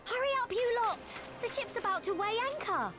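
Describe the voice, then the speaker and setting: A woman's voice: very high voice, big pitch swings, a little fast, music in the background. A woman playing a spirited, earnest and determined young fighter in a video game. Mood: calling out.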